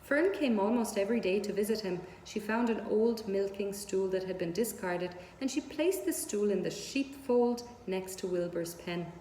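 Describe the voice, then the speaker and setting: A woman's voice: medium pitch, moderate pace, few pauses, mellow. Woman storyteller in an echoing room. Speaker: read-aloud storyteller. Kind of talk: scripted children's book narration. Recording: roomy hall-like echo, audible background noise.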